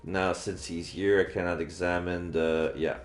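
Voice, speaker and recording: Male game streamer, lower register, reading story text aloud between playthrough remarks; echoey room, faint music behind. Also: little pitch movement, measured pace.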